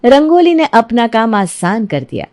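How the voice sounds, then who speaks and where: High-voiced woman storyteller. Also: sing-song, unhurried, darkish tone. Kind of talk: scripted storybook narration in a cartoon moral tale.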